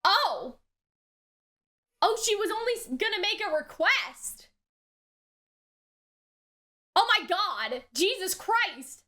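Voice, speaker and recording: A woman with a very high, bright voice gives casual reaction commentary. She takes her time overall, yet sounds animated and exclamatory, dropping slang as she goes. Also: slow pace, pauses often. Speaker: reaction video host.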